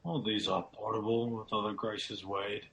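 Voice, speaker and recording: A man playing a loyal, despairing nobleman in a Shakespearean radio drama, speaking in a solemn, declamatory way with a gravelly voice. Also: fairly low pitch, level tone, normal speed, a bit bright, highs cut off, close to an everyday voice. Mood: grave, reasoning. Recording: clearly audible background noise.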